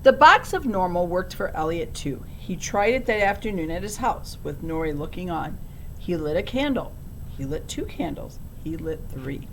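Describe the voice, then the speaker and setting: Woman, children's audiobook narration, lowish pitch, faint rumble behind. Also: very animated, normal speed, fairly bright tone, rough recording. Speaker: audiobook reader. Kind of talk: reading a children's storybook aloud. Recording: low rumbling background noise.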